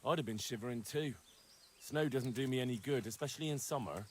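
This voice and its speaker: A man's voice: medium pitch, fairly quick, slight background noise, lightly acted. A man playing a blunt, sarcastic young medieval peasant in game dialogue, sceptical and impatient with what he hears.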